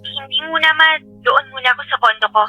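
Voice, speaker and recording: A woman's voice: high-pitched, fast, sharp tone, no echo, faint music behind. A woman playing a chatty young adult daughter with a Filipino accent on a telephone call in a radio drama. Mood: breezy, chatty.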